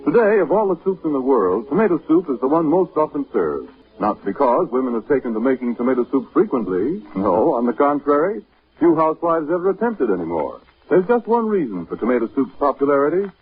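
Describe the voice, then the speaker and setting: A man announcing an old-time radio advertisement in a measured, persuasive scripted manner, on a vintage recording. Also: medium pitch, big pitch swings, breathy edge, narrow, tinny audio.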